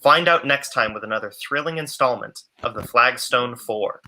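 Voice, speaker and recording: Young male narrator for scripted adventure serial, intense announcer delivery, sharp bright voice, medium pitch. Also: normal speed. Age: young adult. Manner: theatrical announcer. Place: small room indoors. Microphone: earbuds on a video call.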